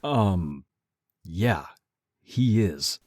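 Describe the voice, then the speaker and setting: A man playing a protective werewolf brother in an audio roleplay, gruff, blunt and exasperated but caring. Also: low voice, lively intonation, very slow, a little mellow, dry recording, exaggerated voice. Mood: gruff, surprised.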